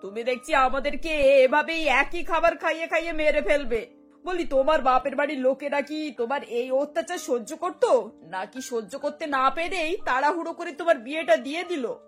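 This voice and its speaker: A woman's voice: high-pitched, moderate pace, fairly bright tone. A woman voicing a sarcastic, fed-up older mother-in-law in a cartoon, grumbling as she talks. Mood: scolding. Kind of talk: Bengali cartoon voice acting. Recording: music bed behind the voice.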